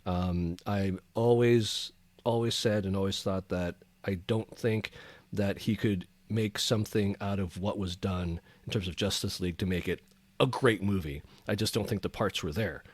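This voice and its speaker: A man co-hosting a podcast, discussing films casually in a measured, conversational way. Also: fairly low pitch, no echo. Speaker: podcast co-host. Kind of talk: casual chat about films.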